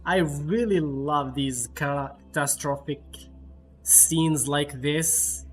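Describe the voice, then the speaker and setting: A male livestreamer comments casually on a game. He speaks slowly and haltingly in slangy language, with game music clearly behind him. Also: on the high side. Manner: halting, slangy, musing aloud. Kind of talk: casual live gameplay reaction commentary.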